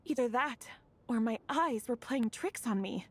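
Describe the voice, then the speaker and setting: An actress playing an excitable, jittery young woman in a video game, with faint noise behind her. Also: on the high side, unhurried. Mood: uneasy.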